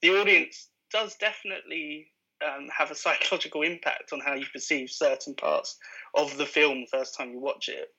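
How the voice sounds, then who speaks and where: A man's voice: a little high, steady tone, moderate pace, sharp tone, breathy edge, muffled recording. A man co-hosting a podcast who muses about films and stammers on his opening words. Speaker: podcast co-host. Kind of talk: casual film discussion.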